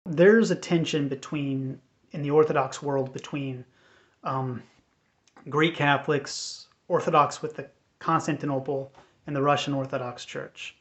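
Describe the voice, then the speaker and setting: An American man giving an unscripted personal update in a measured, earnest, hesitant way. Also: fairly high pitch, steady tone. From United States. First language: English. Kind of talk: unscripted personal talk to camera. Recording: dull, lo-fi sound.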